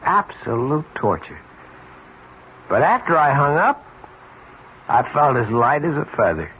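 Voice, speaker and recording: A man playing a guilt-ridden, anxiously polite fellow who speaks in a halting, earnest way in a vintage radio drama. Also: fairly high pitch, very animated. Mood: strained elation. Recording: dull, noisy vintage radio recording.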